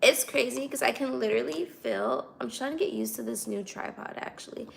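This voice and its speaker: A woman in her twenties with an American accent and a raspy, slightly hoarse voice, chatting casually to livestream viewers. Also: on the high side, fairly quick. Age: 20s. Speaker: livestream host.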